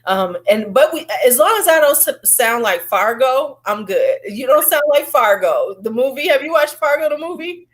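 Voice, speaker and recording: A woman's voice: high-pitched, very animated, moderate pace, few pauses. A middle-aged woman with a Midwestern accent, hosting a casual live chat and rambling with frequent laughs. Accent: Chicago, Midwestern. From American Midwest. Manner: chatty, rambling, laughs often. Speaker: livestream host.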